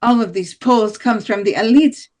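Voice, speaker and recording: Casting: actress as scheming, defiant far-right female politician for a stage-play read, slightly high voice, halting delivery. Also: darkish tone, lightly acted. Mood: angry denial. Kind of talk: amateur table read of a play.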